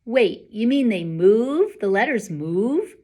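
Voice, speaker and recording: Woman doing an excitable, emphatic young schoolboy. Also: on the high side, slow, heavily acted. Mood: amazed.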